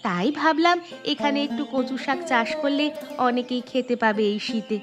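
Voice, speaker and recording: A woman's voice: high-pitched, measured pace, over music. A woman voicing a kind, humble, poor village mother in a cartoon story. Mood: kind, modest.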